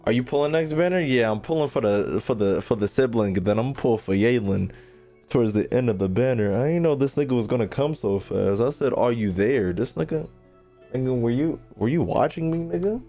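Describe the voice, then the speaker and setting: Casting: male livestream gamer, mid-pitched, slangy and sweary, casual excitable reactions over game music. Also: a little fast. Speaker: game streamer. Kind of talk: casual livestream gameplay commentary.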